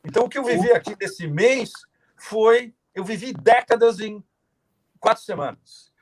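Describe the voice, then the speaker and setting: A man giving an interview over a video call. He talks passionately, with emphatic stresses and frequent halting restarts. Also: high voice, low-quality recording. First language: not Portuguese; says he no longer speaks it. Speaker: guest commentator.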